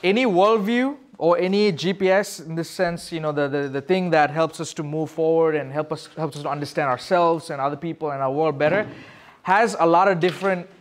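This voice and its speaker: Higher-voiced man teaching informally. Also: fairly quick. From Sri Lanka. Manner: informal, question-driven explaining. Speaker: youth pastor teaching a class. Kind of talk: casual interactive teaching talk.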